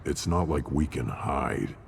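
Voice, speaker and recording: A man playing a gruff, battle-hardened alien scout commander in a video game, speaking bluntly in a gravelly voice. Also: rumbling low, lively intonation, measured pace, exaggerated voice. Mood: gruff, matter-of-fact. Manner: blunt, matter-of-fact. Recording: long reverb, clear background noise.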